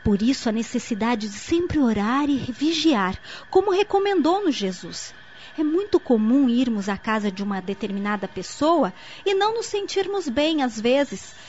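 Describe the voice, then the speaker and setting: High-voiced earnest young niece played by an actress. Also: female voice, big pitch swings, moderate pace, a little mellow. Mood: earnest, explanatory. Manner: didactic, articulate, earnest. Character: young niece explaining spirits earnestly to her aunt. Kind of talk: radio soap opera acting. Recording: muffled lo-fi audio with faint noise.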